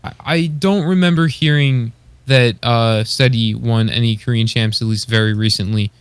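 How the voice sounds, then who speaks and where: Male co-host, average pitch, conversational and a bit halting, very dry sound. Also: clear, resonant tone, dry recording. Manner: hesitant, searching for words, often says like. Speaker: podcast co-host. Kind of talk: casual chat.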